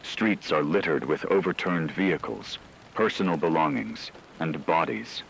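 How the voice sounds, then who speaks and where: A man performs a grave male announcer for a horror radio drama, with a very low-pitched voice. He delivers the scripted report in a solemn, measured, ominous manner, over clearly noisy rain-like ambience. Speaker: radio emergency broadcast announcer.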